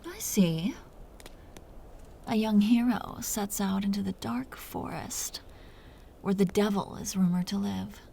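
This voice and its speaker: Seductive vampire mistress, acted by a woman, breathy whisper, slow and teasing, soft mellow tone, intimate roleplay audio, light background ambience. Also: medium pitch, slight background noise. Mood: curious, teasing. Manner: slow, teasing, softly condescending. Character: seductive dominant female vampire captor, amused and predatory.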